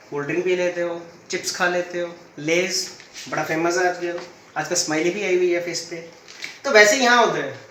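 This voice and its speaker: A man's voice: high voice, a bit bright, reverberant, distant mic, a little hiss or hum, poor audio. A man with a sore throat teaching a physics class, putting questions to the students and answering them himself. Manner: uses everyday stories as examples. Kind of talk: classroom lecture.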